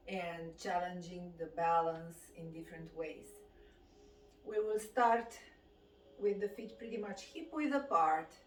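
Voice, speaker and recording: A woman with a Romanian accent leads a guided exercise class as the instructor, giving measured movement and breathing cues. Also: mid-range voice. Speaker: fitness and qigong instructor. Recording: distant mic, echoey room, background noise.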